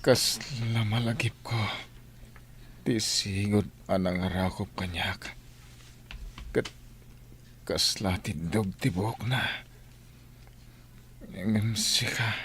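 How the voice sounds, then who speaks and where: An actor playing a confused husband with no memory in an audio drama, with a Filipino accent. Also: male voice, on the low side, slow, pauses often, a bit bright, slight background noise. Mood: bewildered. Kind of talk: Ilocano audio drama acting.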